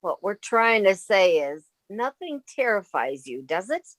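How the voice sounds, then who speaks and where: Wry, animated woman roommate, acted by a woman. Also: mid-range voice, a little slow, no echo. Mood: wry, coaxing. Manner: wry, practical. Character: sensible, wry female roommate with dry wit. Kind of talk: old-time radio comedy acting.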